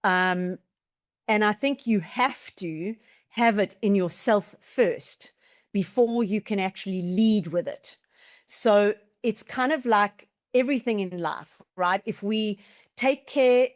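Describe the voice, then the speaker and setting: A middle-aged woman speaks with a South African accent in a medium-pitched voice. She talks as an interview guest, explaining her ideas conversationally and repeating phrases for emphasis. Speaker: podcast guest, team-building business leader.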